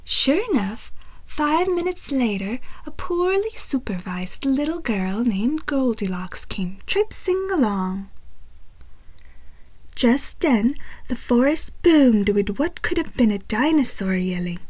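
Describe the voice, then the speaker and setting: A woman reading a picture book aloud as a storyteller, expressive and wry with deliberate emphasis. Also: fairly high pitch, dry recording.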